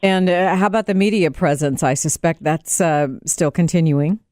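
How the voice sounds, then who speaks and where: Woman, American, radio news host, slightly low pitch, dry close mic, live anchoring, conversational handovers. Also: normal speed, darkish tone, dry recording. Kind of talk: live news anchoring, handing over to reporter.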